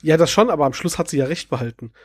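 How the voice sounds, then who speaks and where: A man with a fairly high voice, chiming in agreeably during a casual podcast chat. The sound is dry and close. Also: lively intonation, racing, breathy edge, no room sound. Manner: agreeable, short interjections. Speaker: podcast co-host. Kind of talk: casual discussion.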